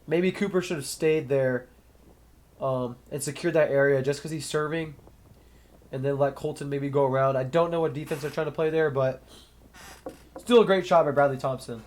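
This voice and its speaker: A man's voice: a little high, normal speed, darkish tone. A young man with a slightly nasal, stuffy voice from a cold, casually calling a match play by play on his own and thinking aloud between points. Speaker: sports commentator. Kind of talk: solo play-by-play commentary.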